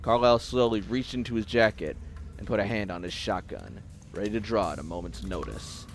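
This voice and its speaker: A man's voice: on the low side, fairly quick, faint music behind. A man delivering dramatic scripted narration for an audio story.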